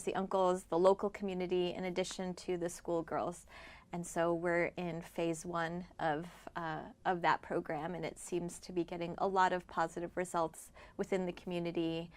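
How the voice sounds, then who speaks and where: A woman's voice: on the low side, level tone, moderate pace. A middle-aged woman from Iowa, born in Afghanistan, explaining her work conversationally as an interview guest. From born in Afghanistan, grew up in rural Iowa. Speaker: interview guest, doctor and nonprofit member.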